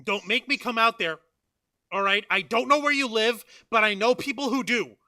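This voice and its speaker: High bright-voiced man hosting a chat. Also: normal speed. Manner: loud, swearing, exasperated joking. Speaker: podcast host. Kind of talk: casual comedic chat.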